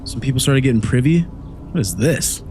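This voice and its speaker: A man's voice: mid-range voice, animated, moderate pace, darkish tone, a little hiss or hum. Young man, stuffy with a cold, easygoing let's play host, rambling chatter, desk mic. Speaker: gaming channel host. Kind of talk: casual let's play commentary. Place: home room at night.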